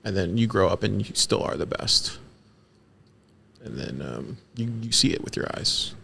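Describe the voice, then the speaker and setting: A man's voice: deep voice, sing-song, creaky, bright, forceful tone, husky. A man from Texas in a casual talk, asking questions and telling stories about growing up. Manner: chatty, questioning, drawn-out storytelling. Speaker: podcast co-host. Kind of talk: casual conversational discussion.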